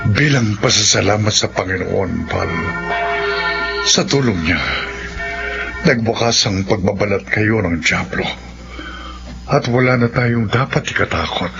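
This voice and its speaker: An actor playing a resolute priest confronting evil, solemn and declamatory, in a radio horror drama. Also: male voice, on the low side, unhurried, creaky, long pauses. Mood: solemn relief. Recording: muffled, loud dramatic music.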